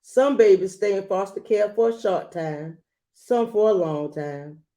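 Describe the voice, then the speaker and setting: Mellow woman reading a picture book aloud. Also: medium pitch, a little slow. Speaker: read-aloud storyteller. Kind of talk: children's picture-book read-aloud with asides.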